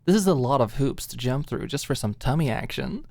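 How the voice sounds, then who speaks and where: A woman's voice: rumbling low, animated, a little fast, dark tone, no room sound, exaggerated voice. A woman playing a guarded young woman with a teasing, wry wit in an audiobook. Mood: sarcastic.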